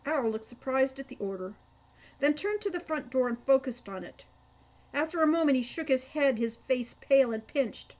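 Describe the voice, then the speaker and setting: A woman reads a story aloud in a fairly high-pitched voice. Her delivery is that of a dramatic storyteller working from a script. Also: animated, moderate pace, darkish tone. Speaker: author reading own audiobook chapter.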